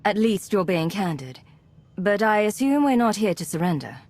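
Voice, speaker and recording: Casting: female imperial agent, game drama. Medium pitch, cool, defiant, skeptical, clipped delivery. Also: sing-song, moderate pace, darkish tone, soft background music. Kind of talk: dramatic video game acting.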